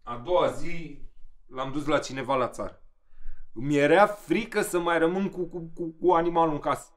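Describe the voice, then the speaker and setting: An actor playing a rough, hard-drinking middle-aged father, blunt and self-justifying, in an audio drama. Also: on the high side, lightly acted. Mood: shaken, remorseful. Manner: blunt, colloquial, repeats himself. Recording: echoey reverberant room, background noise.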